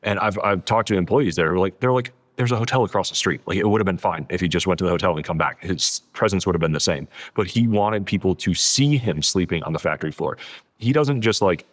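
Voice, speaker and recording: A man with a low voice talks through ideas as a guest in a casual interview. He leans on "like" as he goes and piles up words for emphasis. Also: fast talker. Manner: peppers sentences with "like", emphatic repetition of adjectives. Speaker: podcast guest, author. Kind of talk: casual interview conversation, explaining.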